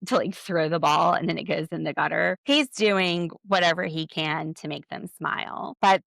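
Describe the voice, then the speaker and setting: Blunt woman with a gravelly low voice. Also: steady tone, moderate pace, fairly bright tone, no room sound. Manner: opinionated, blunt, sarcastic asides. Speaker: podcast host. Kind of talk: solo TV recap commentary.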